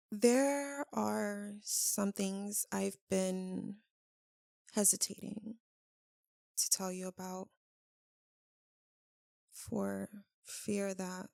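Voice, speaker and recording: A woman playing a tender, tormented vampire lover in an intimate ASMR roleplay, speaking haltingly and earnestly with long dramatic pauses. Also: mid-range voice, gravelly, mellow, no echo, clean studio sound. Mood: hesitant, troubled.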